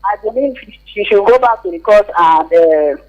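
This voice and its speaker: A Nigerian man speaks over the telephone to a radio show. His voice is notably high for a man. He gives his opinion informally, hesitating and repeating himself as he goes. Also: lively intonation, normal speed, darkish tone, highs cut off. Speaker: phone-in caller. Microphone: telephone call to a radio show.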